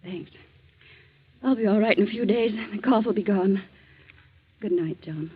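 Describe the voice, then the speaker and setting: A woman's voice: mid-range voice, normal speed, slightly rough. An actress playing an ailing, remorseful middle-aged mother in a 1940s radio drama, weary and pleading. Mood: sorrowful, pleading. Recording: muffled, scratchy 1940s radio broadcast.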